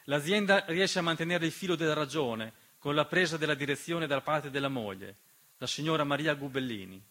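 Bright-voiced man narrating theatre. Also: on the high side, brisk. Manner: emphatic, with rhetorical questions and repeated refrains. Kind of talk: dramatic theatrical monologue narration. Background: piano accompaniment.

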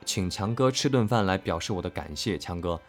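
Low-voiced man chatting live over music. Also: expressive, fairly quick. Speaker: voice actor co-hosting a livestream about an audio drama. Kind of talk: casual live chat.

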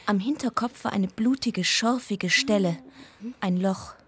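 An actress playing a pious deaconess who narrates in measured, short sentences for a radio play. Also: female voice, mid-range voice, fairly bright tone, a little hiss or hum, close to an everyday voice. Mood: hushed shock.

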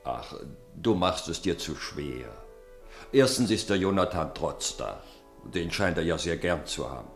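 A man's voice: low-pitched, expressive, a little slow, roomy sound, soft background music. An actor playing a downhearted but kindly father who comforts his wife, in a German radio play. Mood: reassuring.

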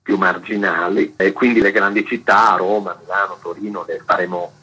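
A man with a very low, husky voice. He speaks as a novelist being interviewed, explaining things in a measured way, with a bright, forceful edge. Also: fairly even intonation. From Italy.

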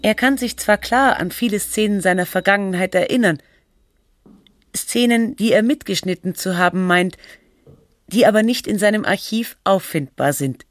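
A woman's voice: mid-range voice, moderate pace, no echo, studio quality, lightly acted. A woman playing a sober, literary narrator and researcher in scripted documentary-style radio drama. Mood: measured, factual.

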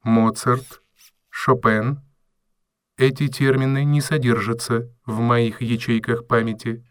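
Man voicing a formal, deadpan household robot, dry sound. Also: medium pitch, slow, smooth, dry recording, natural voice. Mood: polite, impassive. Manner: formal, precise, literal, deadpan. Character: polite, emotionless male household robot. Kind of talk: audio drama acting.

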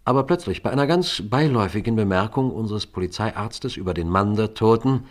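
Guy acting as a low-voiced police detective in a radio crime play, measured, probing. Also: animated, close to an everyday voice. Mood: sober, reflective. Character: male police detective narrating a murder inquiry. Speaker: detective and narrator. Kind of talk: radio play acting and first-person narration.

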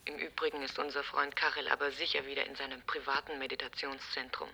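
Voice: mid-range voice, little pitch movement, quick pace, a crowd in the background, lightly acted. An actor in a German radio drama playing a casual, faintly mocking housemate answering the telephone in a conversational way. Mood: gently mocking.

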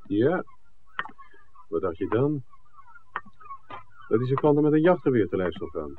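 An actor playing a wry, teasing screenwriter with drawling ironic asides in a 1960s Dutch radio drama. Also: male voice, mid-range voice, very animated, measured pace, background noise. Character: wry, teasing male screenwriter, good-humored companion. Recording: muffled, narrow old radio recording.

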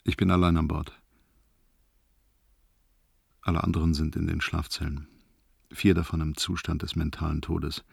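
An actor playing a sombre, resigned male astronaut in a radio play, with a gravelly, husky voice and a measured delivery. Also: rumbling low, little pitch movement, no room sound, studio quality, exaggerated voice. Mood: sombre, reflective. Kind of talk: German radio drama, log-entry monologue.

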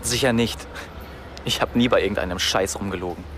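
An actor playing a remorseful, self-pitying young man, halting and defensive. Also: lowish voice, background noise, lightly acted. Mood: brooding.

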